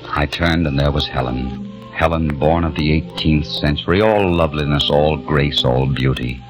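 A man's voice: extremely low pitch, moderate pace, music in the background, rough recording. A man in a 1940s radio drama playing a modern man stranded in eighteenth-century London, given to impassioned, bitter outbursts. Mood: wistful.

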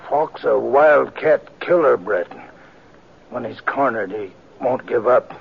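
An actor playing a wounded small-town sheriff, weary and pleading, speaking weakly and haltingly in a 1950s radio western. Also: male voice, medium pitch, gravelly. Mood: weary warning. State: weak, labored, halting speech. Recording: muffled, hissy old radio recording.

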